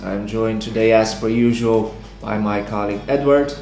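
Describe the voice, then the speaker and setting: A man hosting a casual sports chat with a slightly hoarse voice. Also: on the low side, moderate pace, a little mellow. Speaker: host. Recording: roomy echo, music underneath.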